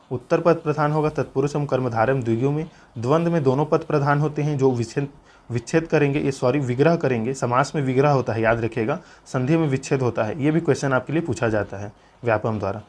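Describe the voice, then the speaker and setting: A man's voice: mid-range voice, darkish tone. Male exam tutor. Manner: repeatedly urges listeners to remember, instructive. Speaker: teacher. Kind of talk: exam-preparation lesson, explaining notes aloud.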